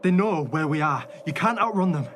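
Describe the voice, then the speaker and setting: A man's voice: on the high side, animated, fairly quick, natural voice. A man playing an anxious, earnest survivor in a radio play, speaking with urgency. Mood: uneasy. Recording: clear background noise.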